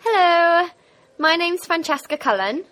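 A woman's voice: extremely high pitch, animated, unhurried, fairly bright tone. A cheerful woman from Cardiff, describing herself. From Cardiff, Wales. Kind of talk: self-introduction.

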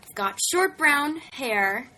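A woman's voice: sits high, very animated, takes it slowly, bright, forceful tone, slight background noise, low-quality recording. A friendly woman in her twenties from New Orleans, introducing herself. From New Orleans, USA. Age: 20s. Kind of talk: self-introduction.

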